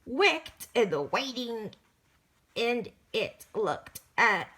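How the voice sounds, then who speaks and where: A woman reading a children's picture book aloud, storytelling with lively emphasis and the occasional stumble. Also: a little high, extremely slow.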